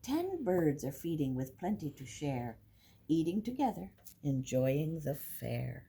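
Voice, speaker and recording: A woman's voice: sits low, very animated, measured pace, dark tone, husky. An older woman reading a picture book aloud to young children like a teacher, adding simple explanations and counting along. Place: indoor classroom with wooden furniture. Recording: noisy room recording with clicks.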